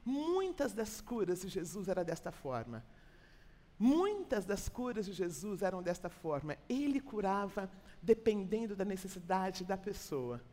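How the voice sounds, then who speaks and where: Animated Brazilian woman preaching in reverberant hall. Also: mid-range voice, unhurried. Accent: Brazilian Portuguese. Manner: colloquial, teacherly, repeats phrases for emphasis. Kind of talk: expository church sermon.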